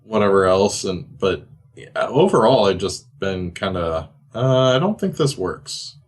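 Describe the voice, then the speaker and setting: Male gaming podcast panelist, somewhat low voice, casual conversational delivery. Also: expressive, measured pace.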